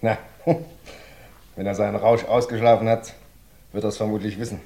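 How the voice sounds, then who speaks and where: An actor playing a nervous, eager police clerk in an old radio play. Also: male voice, lowish voice, level tone, moderate pace, creaky, warm tone, roomy sound. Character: jumpy young male police clerk.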